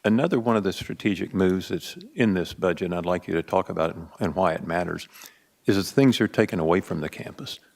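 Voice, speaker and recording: A man on a school board putting a measured, halting question to an official. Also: sits low, vocal fry. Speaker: board member. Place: board meeting room.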